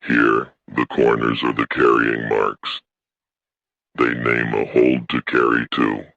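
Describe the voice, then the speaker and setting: A man playing an old, weary alien elder who speaks in solemn, stern, formal declarations with a gravelly creak, in a science fiction audio story. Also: a bass voice, level tone, slow, pushed and bright, dry recording, muffled recording, heavily acted.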